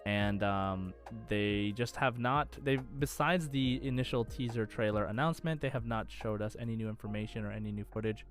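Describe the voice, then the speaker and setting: A man's voice: on the low side, moderate pace, hardly any pauses, faint music behind. A man co-hosting a casual gaming podcast, talking in a rambling, informal way. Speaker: podcast co-host. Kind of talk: casual chat about video game news.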